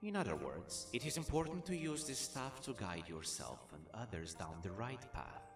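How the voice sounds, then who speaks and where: A man playing a mysterious, solemn old man with divine power in a fantasy audio drama, speaking in a slow, measured, instructive manner. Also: lowish voice, very animated. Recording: echoing voice under loud music.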